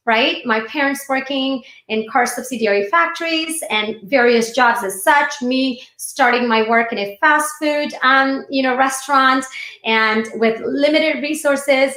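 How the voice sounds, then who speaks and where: Woman, very high voice, chatty and informal livestream speaker, raised in India and later US-based, roomy echoing sound. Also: a bit bright. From born and raised in India, moved to the United States at fifteen. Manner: chatty, addresses viewers directly. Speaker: speaker hosting a live online session. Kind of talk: informal motivational talk to livestream viewers.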